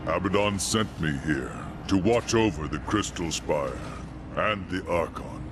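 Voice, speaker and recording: Battle-seasoned angel sentinel for a fantasy game: deep, measured, formal, solemn, music behind him. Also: male voice. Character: solemn male angel warrior guarding an outpost of light.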